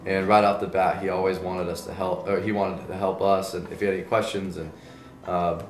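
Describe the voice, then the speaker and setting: A man's voice: sits low, level tone, brisk, reverberant, faint music behind. A man in his twenties, an exchange student, giving easygoing, chatty interview answers.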